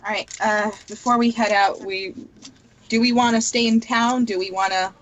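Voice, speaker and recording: A woman's voice: mid-range voice, steady tone, lo-fi sound, slight background noise. Chatty woman player from Texas. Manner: chatty, quick to laugh. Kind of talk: casual tabletop play.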